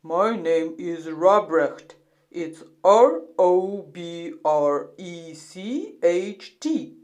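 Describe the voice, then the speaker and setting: An extremely slow speaker gives a name in a scripted lesson dialogue. They then spell it out one letter at a time, with long pauses between letters. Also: medium pitch, lively intonation, darkish tone. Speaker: quiz participant spelling a name.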